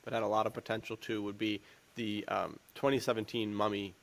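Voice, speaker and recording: A man's voice: fairly low pitch, flat delivery, brisk, creaky. A man co-hosting a podcast, chatting about films in an informal, rambling, slangy way. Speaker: podcast co-host. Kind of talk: casual chat, film commentary.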